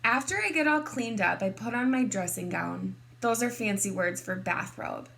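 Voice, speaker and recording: A woman reading a picture book aloud expressively to kindergarteners, in a deliberate teacherly way. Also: mid-range voice, expressive, fairly bright tone. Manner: deliberate, clearly addressed to small children.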